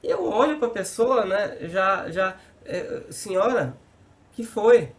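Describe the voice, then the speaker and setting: A man's voice: high voice, takes it slowly. A man hosting a podcast, a judge himself, who tells anecdotes and acts out the dialogue in them. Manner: animated storyteller who acts out quoted dialogue.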